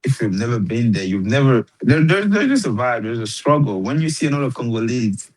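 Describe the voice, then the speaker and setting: A man hosting a podcast as casual chat, speaking in slang and haltingly, often repeating his words. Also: mid-range voice, animated, low-quality recording. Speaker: podcast host.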